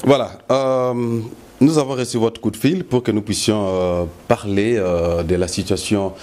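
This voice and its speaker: A man with a Congolese accent hosting a political interview, putting drawn-out rhetorical questions. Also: lowish voice, fairly even intonation, a little mellow, slight background noise. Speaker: host.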